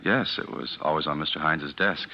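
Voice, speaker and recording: An actor playing an earnest, plain-spoken young ex-convict on trial, in 1940s radio drama style. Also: male voice, a bass voice. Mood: subdued. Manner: plain-spoken, halting, insistent. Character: accused young ex-convict, earnest, grimly determined under pressure. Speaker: defendant on trial. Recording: dull vintage 1940s radio recording.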